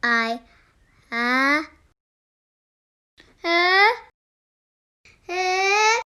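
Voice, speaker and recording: A reader voicing an anxious elephant in a storybook, dramatic, halting and exclamatory. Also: very high voice, expressive, pushed and bright, voice pushed up, exaggerated voice. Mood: worried, dramatic.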